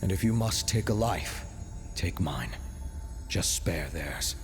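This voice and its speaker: Game hero: selfless man pleading dramatically, lowish pitch, faint music underneath. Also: expressive, takes it slowly, exaggerated voice. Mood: desperate pleading. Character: selfless, earnest male hero.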